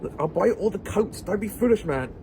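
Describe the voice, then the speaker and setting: A man's voice: high voice, animated, a little fast, background noise. A man playing an eccentric, curious time-travelling professor in an audio drama, wry and musing. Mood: urgent. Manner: wry, musing, British idiom.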